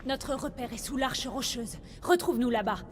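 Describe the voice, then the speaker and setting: A woman's voice: on the high side, moderate pace, slight background noise, close to an everyday voice. A woman acting a defiant, idealistic young Persian daughter and fighter in a French-dubbed video game, earnest and challenging. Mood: urgent.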